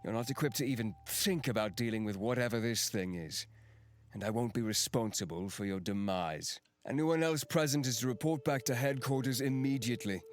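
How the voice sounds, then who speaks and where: A man playing an exhausted, stern fantasy general, speaking in a measured, curt, commanding way in an acted audio drama. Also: mid-range voice, animated, natural voice. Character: exhausted, stern non-binary fantasy general giving orders.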